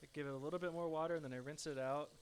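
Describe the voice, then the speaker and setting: A soft-spoken man with a slightly higher voice talks easily through a live cooking stream, relaxed and chatty as he works around his home kitchen. A faint hum of room noise sits under his voice. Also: racing. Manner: relaxed, chatty, talks to viewers while working. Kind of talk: casual live cooking stream, reading chat aloud.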